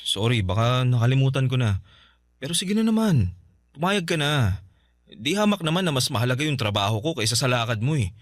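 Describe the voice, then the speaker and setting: Fast-talking, coaxing Filipino boyfriend acted by a man. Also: medium pitch, very animated, dry recording, clean studio sound, natural voice. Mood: pleading. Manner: coaxing, insistent. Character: pleading, self-serving live-in boyfriend and father. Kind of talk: Tagalog radio drama acting.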